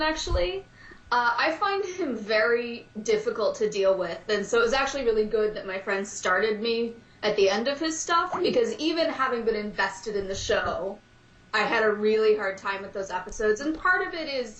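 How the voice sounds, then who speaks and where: A woman's voice: fairly high pitch, animated, normal speed, fairly bright tone. A woman talking as a podcast guest in a chatty, loose way with frequent ums. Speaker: guest, audio drama performer. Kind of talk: casual podcast chat. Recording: roomy, dull sound.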